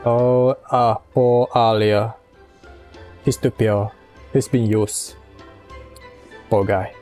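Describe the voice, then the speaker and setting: A woman's voice: very deep voice, steady tone, very slow, dark tone, soft background music. A woman casually reacting to a game as a streamer, muttering laid-back asides. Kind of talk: casual gameplay reaction commentary.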